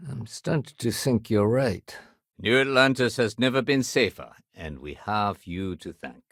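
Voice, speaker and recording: The lowish-voiced, wry, by-the-book police sergeant you'd meet at a spaceport desk in a sci-fi game, clipped and dutiful, his pitch moving freely. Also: lively intonation, unhurried, a bit bright, dry recording, clean studio sound, exaggerated voice. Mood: wry, appreciative. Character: male city security sergeant, dry, no-nonsense, dutiful. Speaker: video game character voice actor. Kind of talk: video game dialogue.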